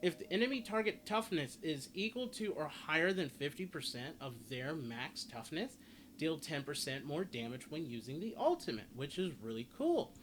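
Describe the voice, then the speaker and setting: A man's voice: on the high side, big pitch swings, normal speed, fairly bright tone. A man giving a casual game character guide, rambling and often repeating and rephrasing himself. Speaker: gaming video host. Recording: clearly noisy home recording.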